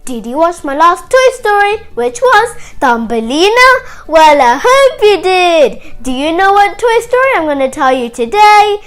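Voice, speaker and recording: High-pitched, animated female children's storyteller. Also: measured pace, few pauses. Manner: animated, chatty, asks the listener questions. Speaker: children's story show host.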